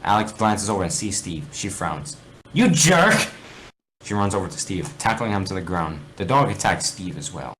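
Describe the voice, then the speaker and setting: A man's voice: low voice, a little fast, hardly any pauses, a bit bright, a little hiss or hum, low-quality recording. A man reading fan fiction aloud in an amateur dramatic style, often stumbling and correcting himself. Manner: theatrical, stumbles over words and corrects himself.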